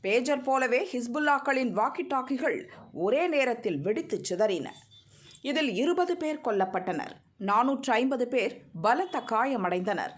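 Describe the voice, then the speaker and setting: A woman narrating a scripted news report in an even, formal read. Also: a little high.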